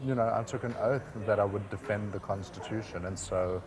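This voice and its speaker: A man's voice: fairly low pitch, steady tone, a little fast, a crowd in the background, low-quality recording. A man from South Africa, a website founder answering interview questions with many fillers and restarts.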